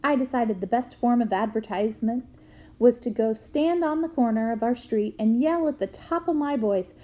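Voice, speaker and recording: A woman reading a memoir aloud to students in a clear storytelling manner. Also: a little high, normal speed. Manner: clear storytelling, bursts into loud shouts for quoted yells.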